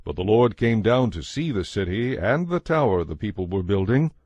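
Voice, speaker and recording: A man giving a measured, solemn scripted narration of a dramatized Bible. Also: on the low side, expressive, a little mellow, dry recording, dull audio. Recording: narration over a music bed.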